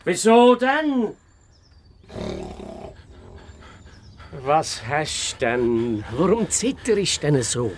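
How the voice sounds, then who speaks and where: High-pitched bossy fox detective. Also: male voice, sing-song, full character voice. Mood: self-satisfied. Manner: insistent, dismissive, brisk questioning. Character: bossy, confident male fox fairy-tale detective. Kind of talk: children's fairy-tale radio play.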